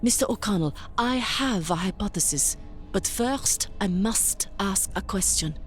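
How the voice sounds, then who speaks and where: A woman's voice: medium pitch, lively intonation, measured pace, soft background music, close to an everyday voice. A woman playing an inquisitive scientist in an audio drama, explaining things in a methodical, formal manner. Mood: analytical, curious.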